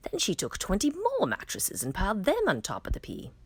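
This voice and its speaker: A woman reading a fairy tale aloud as a storytime reader, in an expressive, dramatic manner. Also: mid-range voice, brisk, husky, no room sound. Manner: dramatic storytelling with emphatic swells.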